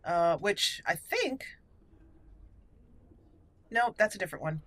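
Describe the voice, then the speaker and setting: A woman's voice: medium pitch, animated, takes it slowly, fairly bright tone, faint music behind. A woman giving chatty roleplay game commentary, thinking aloud and slipping into formal in-character lines. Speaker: gaming channel host and player. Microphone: close, dry microphone.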